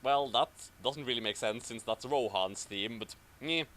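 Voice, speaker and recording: A man's voice: medium pitch, moderate pace, fairly bright tone. A man hosting and narrating a comic fan fiction reading in an amused, theatrical way. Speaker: host and narrator.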